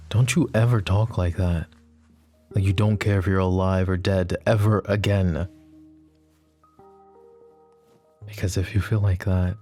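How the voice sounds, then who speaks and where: A man playing a possessive, lovestruck mafia boss in an intimate audio roleplay, speaking softly and emotionally with halting phrases. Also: deep voice. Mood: hurt, pleading. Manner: soft, emotive, halting delivery with stammers. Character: possessive, lovestruck young male mafia boss, tender and anguished. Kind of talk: intimate roleplay ASMR acting.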